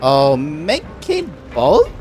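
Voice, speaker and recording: Halting, animated game streamer. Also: medium pitch, soft background music. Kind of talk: casual gameplay commentary.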